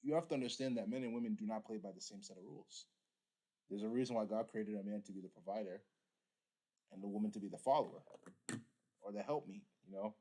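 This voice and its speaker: Low-voiced man lecturing his viewers. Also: quick pace, long pauses, mellow. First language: Igbo. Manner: preachy, addresses viewers directly. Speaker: reaction streamer. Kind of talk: casual reaction commentary.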